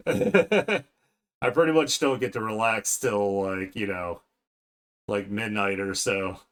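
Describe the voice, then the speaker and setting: A man's voice: fairly low pitch, takes it slowly, fairly bright tone, clear, resonant tone. A man talking casually to viewers on an art livestream, rambling along as he works. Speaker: art streamer hosting a livestream. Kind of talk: casual livestream chat while drawing.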